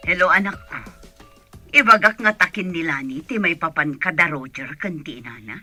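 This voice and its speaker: A woman's voice: sits low, animated, moderate pace, pushed and bright, faint music behind, exaggerated voice. A woman playing a middle-aged mother talking with her daughter in an Ilocano radio drama. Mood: motherly.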